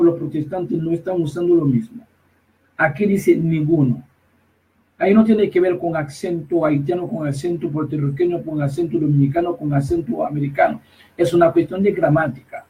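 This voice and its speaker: A man with a fairly high voice preaches insistently, repeating himself and breaking off mid-phrase. He stands some distance from the microphone, so his voice sounds set back in the space. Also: dark tone. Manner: emphatic, repetitive, halting with restarts. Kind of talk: preaching commentary.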